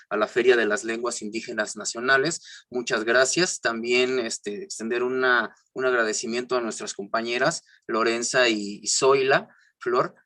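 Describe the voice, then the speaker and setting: A man's voice: medium pitch, pushed and bright, no room sound. A man from Juchitán, a Zapotec speaker, presenting politely on a video call with many fillers. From Juchitán de Zaragoza, Oaxaca, Mexico. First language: Isthmus Zapotec. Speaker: cultural promoter.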